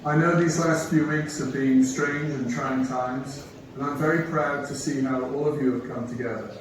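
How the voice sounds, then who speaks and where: A man, a company executive, giving a measured, reassuring prepared video address. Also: mid-range voice, steady tone, noisy background. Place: video played on a theater screen. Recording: echoey hall playback from far off.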